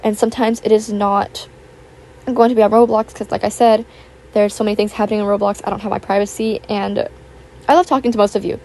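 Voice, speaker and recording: Raspy young woman chatting in a rambling way. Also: mid-range voice, little pitch movement, a little fast, darkish tone, poor audio. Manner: rambling, filler-heavy, chatty. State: raspy from just waking up. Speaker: YouTuber. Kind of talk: casual chat voiceover. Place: home, with household noise. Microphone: built-in tablet microphone.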